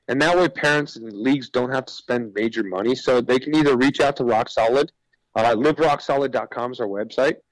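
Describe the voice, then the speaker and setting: A man's voice: medium pitch, dry recording, low-quality recording. A man speaking as a guest in a radio interview, explaining his business in an earnest, informal way. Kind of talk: conversational radio interview pitch.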